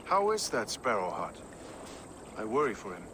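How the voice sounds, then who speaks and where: A man playing a steady, wry Viking ally in a video game cutscene, calm and understated. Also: fairly low pitch, normal speed, background noise. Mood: wry, concerned.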